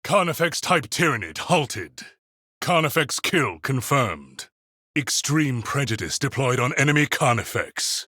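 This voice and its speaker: An actor playing a methodical, unsentimental space marine who speaks in official reports in a video game. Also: male voice, mid-range voice, very animated, measured pace, sharp tone, no echo, clean studio sound, exaggerated voice. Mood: matter-of-fact. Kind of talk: video game combat barks.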